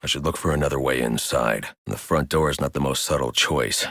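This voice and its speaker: An actor voicing a grim, brooding masked vigilante detective in a video game, speaking in a terse, stern, deadpan way. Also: male voice, a bass voice, a little fast, no echo, clean studio sound, heavily acted. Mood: stern, focused. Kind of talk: scripted video game voice lines.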